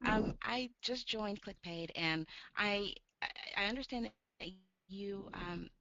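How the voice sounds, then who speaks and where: A woman's voice: medium pitch, slow pace, bright, forceful tone. A woman caller talking casually on the phone, earnest and enthusiastic, feeling her way through her sentences. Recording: dull phone call audio.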